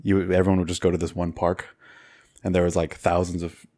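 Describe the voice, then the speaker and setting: A young man with a deep monotone baritone, a podcast guest talking in a dry, flat way. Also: fairly quick. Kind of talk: casual podcast chat.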